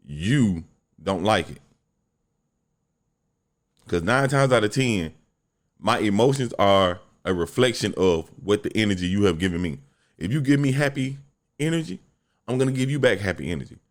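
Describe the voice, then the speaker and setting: Deep-voiced man ranting on a podcast. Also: moderate pace, long pauses. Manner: blunt, profane, animated storytelling. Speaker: podcast host. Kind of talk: solo podcast rant.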